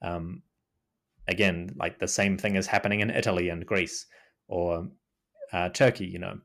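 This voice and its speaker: Deep-voiced New man. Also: steady tone, normal speed, creaky, fairly bright tone. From New Zealand. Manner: thoughtful, restarts often, lots of "you know". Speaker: podcast guest, Egyptology podcaster. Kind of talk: casual interview talk. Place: remote call.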